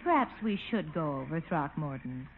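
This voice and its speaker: An American actress playing a patient, gently practical lady friend in a 1950s radio comedy. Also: deep voice, very animated, normal speed, very noisy. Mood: patient, coaxing. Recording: tinny old radio recording.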